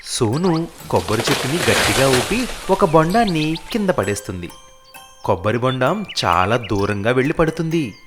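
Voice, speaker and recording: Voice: mid-range voice, very animated, moderate pace, music in the background. A storyteller narrating a scripted animal fable for children.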